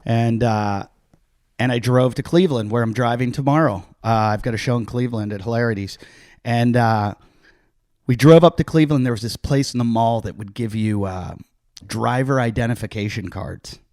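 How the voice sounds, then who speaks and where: A man's voice: mid-range voice, moderate pace, a little mellow, no echo, clean studio sound. Middle-aged man telling rambling bar stories. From Pittsburgh. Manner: rambling, self-mocking, acts out voices in anecdotes. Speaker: podcast host, comedian. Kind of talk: casual storytelling chat.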